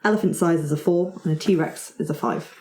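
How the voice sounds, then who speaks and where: A woman's voice: on the low side, brisk, darkish tone, reverberant, low-quality recording. A woman working as game master, narrating a tabletop roleplaying game and encouraging the players' ideas.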